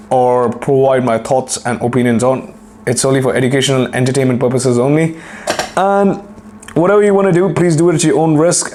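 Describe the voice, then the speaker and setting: Man, young adult, hoarse, throat-clearing, casual profanity, faint background hiss. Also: medium pitch, darkish tone. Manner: profane, rambling, self-deprecating. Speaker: podcast host and fitness coach. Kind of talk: casual solo podcast talk.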